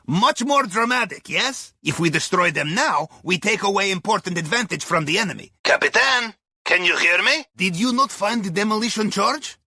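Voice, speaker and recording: A man's voice: sits high, bright, forceful tone, slightly rough, dry recording, studio quality, exaggerated voice. A man playing a wry, cheerful Russian submariner and heist planner in a video game, giving briefings in clipped phrasing with a Russian accent. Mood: pleased, then businesslike, urgent. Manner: wry, clipped phrasing with dropped articles and tag questions. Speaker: mission guide.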